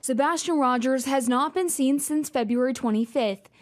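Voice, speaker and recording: A woman with a high-pitched voice narrates a news report. She delivers it as a scripted television package, in a reporter's style. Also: normal speed.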